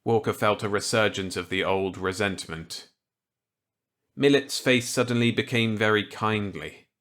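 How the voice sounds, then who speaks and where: A man's voice: on the low side, unhurried, fairly bright tone. A man reading a story aloud as an audiobook narrator, in scripted narration.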